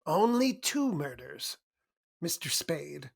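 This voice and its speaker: A woman playing a fussy, sly, excitable criminal schemer in a 1940s-style radio noir, with prim and precise phrasing. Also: low voice, takes it slowly, creaky, husky, exaggerated voice. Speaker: stage actor in a radio play.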